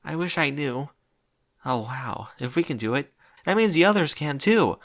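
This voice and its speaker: Guy doing a bossy anxious girl unicorn, way high and bright, insistent, storybook cartoon type. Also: lively intonation, moderate pace. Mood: worried. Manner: urgent, insistent. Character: bossy, earnest, anxious young female unicorn leader.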